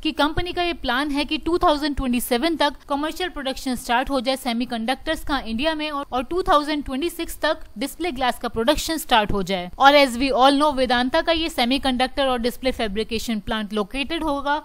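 A woman presenting stock market news as a voice-over, explaining each update in a steady way and mixing English terms into her speech. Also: high voice, fairly quick, few pauses. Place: voice-over over screen recording.

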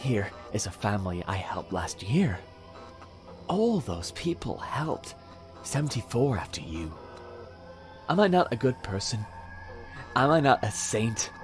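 A man playing a deranged, self-righteous serial killer in a dramatic audio drama, halting and menacing, sometimes dropping into a whisper. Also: lowish voice, sing-song, soft background music.

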